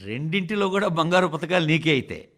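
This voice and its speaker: A man reading a scripted, formal radio address in speech, phrasing it in a measured, emphatic way with deliberate pauses. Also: a little high, animated, fairly bright tone. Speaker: radio reader of a translated address.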